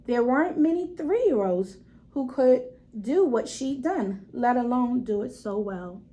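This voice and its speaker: A woman with a fairly high voice reads a children's picture book aloud. Her pitch swings widely as she goes. She draws out key words and breaks off to talk straight to her young listeners. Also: measured pace. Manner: animated, stretches words for emphasis. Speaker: read-aloud storyteller for children.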